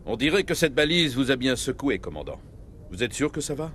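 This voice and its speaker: An actor playing a grave, middle-aged military starship captain, speaking with measured authority in video game dialogue. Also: male voice, medium pitch, sing-song, fairly bright tone, a little hiss or hum. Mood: concerned, formal.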